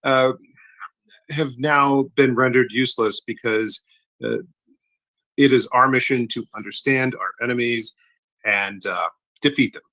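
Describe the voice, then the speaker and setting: A man's voice: mid-range voice, a little slow, long pauses, sharp tone, rough recording. A man chatting on a live audio call, joking in a wry, mock-formal way. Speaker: speaker on a live audio space. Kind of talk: casual chat.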